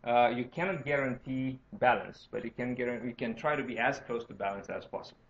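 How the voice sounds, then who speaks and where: Gravelly Romanian-accented man lecturing, dull recording. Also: medium pitch, a little fast. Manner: explanatory, often checking with "right?" and "okay?". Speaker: university lecturer. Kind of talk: informal tutorial lecture.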